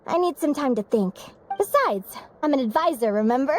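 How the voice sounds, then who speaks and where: A woman's voice: high voice, expressive, normal speed, slight background noise. A woman playing an earnest, upbeat young advisor and competitor in a video game, chatty and thoughtful. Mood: reflective. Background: background music.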